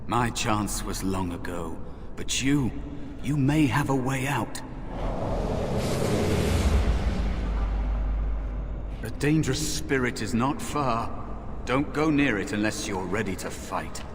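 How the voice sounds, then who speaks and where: An actor playing a wary, bitter spirit of a dead apprentice in a fantasy video game, speaking in a hushed, deliberate, weary way. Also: male voice, medium pitch, animated, lightly acted. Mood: cautious. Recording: long reverb, loud background noise.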